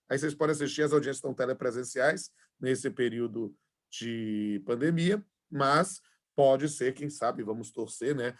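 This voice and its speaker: A man in his forties with a Bahian accent, a law professor chatting informally to introduce himself to an online class over a video call, with many fillers. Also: mid-range voice. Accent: Bahian Portuguese. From Salvador, Bahia, Brazil. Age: 40s. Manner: chatty, filler-heavy, friendly. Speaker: law professor and labor judge.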